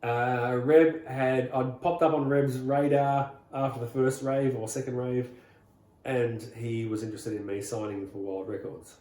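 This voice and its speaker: A middle-aged Australian man, a singer being interviewed, recalling stories in a rambling, relaxed way with many fillers and restarts. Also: mid-range voice, a little slow, roomy sound. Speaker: rockabilly singer, guest. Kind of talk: casual interview storytelling.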